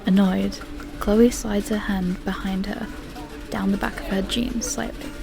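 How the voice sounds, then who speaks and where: A woman's voice: medium pitch, fairly even intonation, unhurried, vocal fry, music in the background. A woman reading scripted story narration as the narrator of an audio drama.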